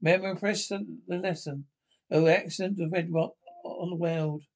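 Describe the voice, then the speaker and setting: A man with a distinctly high voice reads a radio play script aloud. He moves through it haltingly, tripping on words and doubling back to repeat them. Speaker names get read out along with the dialogue. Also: fairly even intonation. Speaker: amateur script reader.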